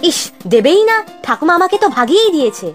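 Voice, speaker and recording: A woman's voice: sits high, sing-song, fast, soft background music. A woman playing a whiny, picky young boy in a children's cartoon, wheedling and sulky. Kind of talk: Bengali children's cartoon acting.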